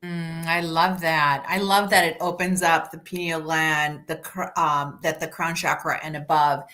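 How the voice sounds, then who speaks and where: Bright-voiced woman host, affirming. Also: sits low, level tone, a little slow. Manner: warmly affirming, curious questioner. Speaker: podcast host. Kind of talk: conversational interview.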